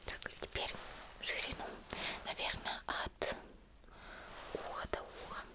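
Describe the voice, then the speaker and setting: A woman whispers through an ASMR roleplay. She addresses the listener directly and softly, with a caring, gentle manner. Her delivery is unhurried and affectionate.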